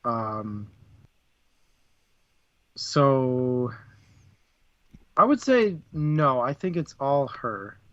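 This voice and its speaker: A man's voice: medium pitch, sing-song, very slow, long pauses, no echo, highs cut off. A man casually discussing a book as a podcast co-host.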